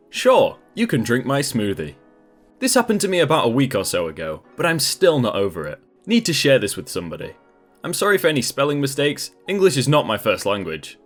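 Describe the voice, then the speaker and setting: A man narrating a read-aloud online story in a scripted, expressive manner. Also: medium pitch. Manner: lively, expressive reading. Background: faint background music.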